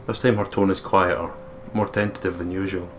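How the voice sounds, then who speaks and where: Low-voiced Scottish man, gaming co-host. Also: little pitch movement, fairly quick, a little hiss or hum. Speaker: let's play co-host. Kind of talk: reading game narration aloud and casual commentary.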